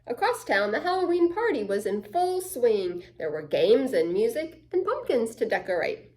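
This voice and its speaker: A woman's voice: a little high, moderate pace. A woman reading a children's picture book aloud expressively, with little asides to her listeners. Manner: expressive, dramatic shifts between hushed and excited. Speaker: children's story time reader.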